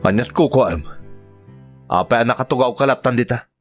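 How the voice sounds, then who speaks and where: A man's voice: mid-range voice, normal speed, lightly acted. A man with an Ilocano Filipino accent playing a cruel, domineering master of the house in an audio drama. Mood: bossy. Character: cruel, domineering man keeping a woman as servant. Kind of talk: amateur radio-style drama acting. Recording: music behind the dialogue.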